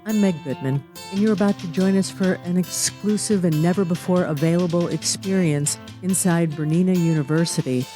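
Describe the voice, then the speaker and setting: A woman's voice: lowish voice, brisk, hardly any pauses, warm tone. A woman hosting, reading an upbeat promotional documentary narration as a voice-over. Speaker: host. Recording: voice-over with faint music.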